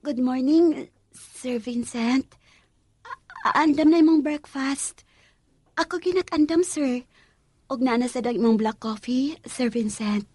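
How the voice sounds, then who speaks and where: An actress playing a lovesick housemaid in a radio drama, with a Visayan Filipino accent and a tearful, deferential manner. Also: female voice, sits high, measured pace, a little mellow, no echo, studio quality. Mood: meek, eager. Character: lovesick housemaid, a mother pining for her master. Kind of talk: radio drama acting with confessional narration.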